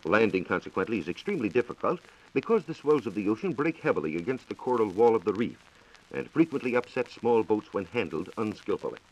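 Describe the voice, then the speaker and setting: An American man giving a scripted 1940s radio science talk as a reporter, in a measured, formal lecturing manner. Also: fairly low pitch, sing-song, low-quality recording. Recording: 1940s radio broadcast recording.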